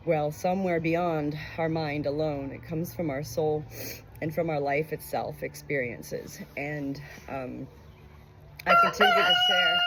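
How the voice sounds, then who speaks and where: A woman reading a book and reflecting on it in an expressive, earnest, emotional way. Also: low-pitched, low-quality recording. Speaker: audiobook reader. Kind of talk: expressive book narration with personal commentary. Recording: clear background noise, rooster crowing.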